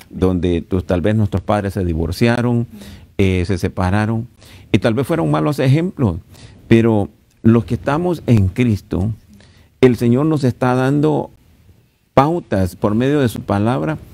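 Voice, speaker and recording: A man's voice: on the low side, measured pace, creaky, mellow. A middle-aged man with a Central American accent preaching conversationally as a pastor, earnest and speaking directly to his listeners. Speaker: pastor teaching at a marriage retreat.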